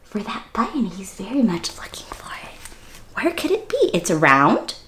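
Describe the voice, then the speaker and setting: A woman's voice: mid-range voice, huge pitch swings, moderate pace, fairly bright tone, slight background noise. A woman hosting an interactive read-aloud for young children, speaking directly to them with questions and exaggerated wonder. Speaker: children's story-time host and reader.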